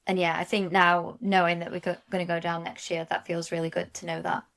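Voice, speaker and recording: A woman co-hosting a podcast in a casual chat, chatty and quick to agree and chime in. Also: on the low side, level tone, fairly bright tone. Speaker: podcast co-host.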